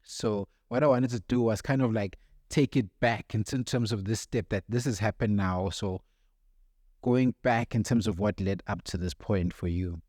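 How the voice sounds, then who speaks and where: A man's voice: lowish voice, moderate pace, a little mellow, dry recording, clean studio sound. A man hosting a podcast, talking casually as he interviews a guest. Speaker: podcast host.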